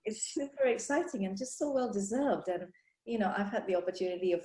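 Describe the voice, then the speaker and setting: A woman's voice: fairly low pitch, warm tone. Upbeat woman host in an echoey room. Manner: effusive, upbeat, frequent fillers. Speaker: clinic owner, interviewer. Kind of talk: enthusiastic interview hosting.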